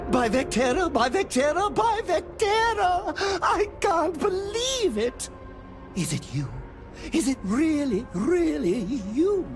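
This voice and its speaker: A woman's voice: high-pitched, sing-song, heavily acted. An actress playing an obsessive, theatrically adoring female superfan of a space hero in a video game cutscene. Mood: ecstatic awe. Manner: theatrical, breathless adoration, swooping from shouts to drawn-out awe. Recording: music behind the voice.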